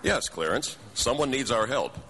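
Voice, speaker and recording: An actor playing a calm, authoritative head angel in a radio drama, measured and kindly. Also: male voice, mid-range voice, loud music behind the voice. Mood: benevolent authority. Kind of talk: live radio drama acting.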